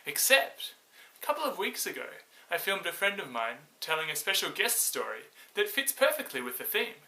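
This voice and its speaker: Animated, bright-voiced man telling a story. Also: sits high, normal speed. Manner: theatrical, expressive, acts out dialogue. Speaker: storyteller and show host. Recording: roomy echo, distant mic.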